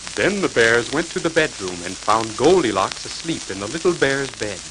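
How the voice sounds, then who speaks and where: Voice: mid-range voice, big pitch swings, moderate pace. A storyteller reading scripted fairy tales to children on a crackly 1940s record.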